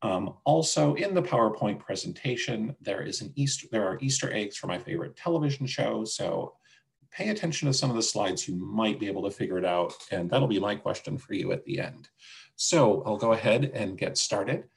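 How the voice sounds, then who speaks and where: Low, gravelly-voiced man presenting a webinar. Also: expressive, fairly quick. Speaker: senior account manager presenting a product demo. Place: indoors, on a video call. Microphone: video call microphone.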